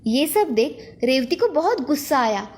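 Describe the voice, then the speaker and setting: A woman's voice: high-pitched, very animated, measured pace, a little mellow. A woman narrating a scripted children's moral story.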